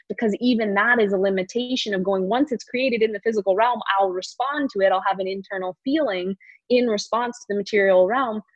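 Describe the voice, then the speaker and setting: A woman's voice: mid-range voice, no echo. Female life coach for podcast interview. Emphatic, repeats key words, close dry sound with a muffled edge. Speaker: coach and course teacher.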